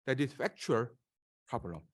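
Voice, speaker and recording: Korean-accented man giving lively conference talk. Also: mid-range voice. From South Korea. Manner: halting, many filler sounds and restarts. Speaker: conference speaker. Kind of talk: conference talk presenting slides. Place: auditorium.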